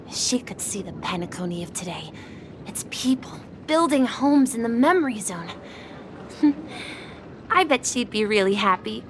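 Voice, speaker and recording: A woman voicing a gentle, kindhearted young female companion in a video game, polite and reassuring. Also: mid-range voice, expressive, a little slow, background noise, close to an everyday voice. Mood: wistful. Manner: soft-hearted, polite, reassuring.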